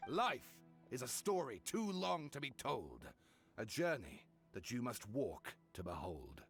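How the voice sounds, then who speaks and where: A man's voice: medium pitch, very animated, unhurried, slightly rough, faint music behind, heavily acted. A man with a British accent playing a theatrical novelist who declaims a tragic tale in a video game. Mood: solemn, dramatic. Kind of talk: dramatic game storytelling. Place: studio voice recording.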